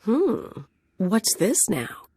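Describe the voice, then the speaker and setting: A woman's voice: medium pitch, wildly swooping pitch, extremely slow, warm tone, husky, dry recording, heavily acted. An actress playing a sly, self-assured female mercenary in a video game, with a sardonic delivery. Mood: sly, teasing. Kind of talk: dramatic video game acting.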